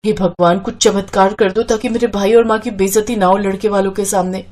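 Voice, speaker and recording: A woman's voice: medium pitch, steady tone, brisk, a little mellow, close to an everyday voice. A woman voicing a quarrelsome, anxious young sister in a cartoon story, with a plaintive, emotional delivery. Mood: worried.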